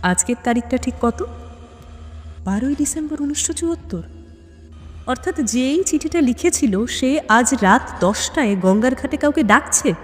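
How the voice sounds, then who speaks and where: A woman narrates a detective story in a suspenseful, deliberate way with dramatic pauses. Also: high-pitched, lively intonation, faint music behind. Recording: long cavernous reverb on the voice.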